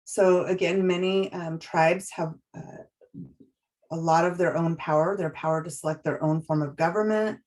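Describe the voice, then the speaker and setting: A woman with a notably low voice gives a training presentation and moves through her slides step by step. She talks in a measured, explanatory way, pausing often and restarting her sentences. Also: steady tone, darkish tone.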